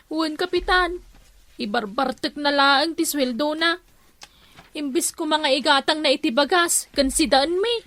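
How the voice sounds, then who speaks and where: A plaintive wife in an Ilocano radio comedy. Her voice is very high and piercingly bright, recorded close and dry. She pleads her grievances with an Ilocano accent. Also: a little slow, no room sound. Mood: pleading, aggrieved. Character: aggrieved, pleading wife seeking help. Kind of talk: Filipino radio comedy drama acting.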